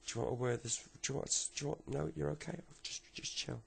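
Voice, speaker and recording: A man's voice: medium pitch, fast, vocal fry, husky, voice pushed up, heavily acted. A man voicing a grandfather who soothes his grandson in coaxing, repetitive reassurances, in a comic story. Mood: gentle, coaxing.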